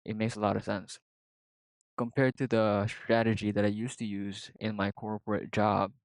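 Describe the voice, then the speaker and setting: Rambling low-voiced man with a Filipino accent. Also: little pitch movement, moderate pace, no room sound, low-quality recording. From Philippines. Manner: rambling, many fillers and restarts. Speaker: vlogger. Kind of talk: casual vlog monologue.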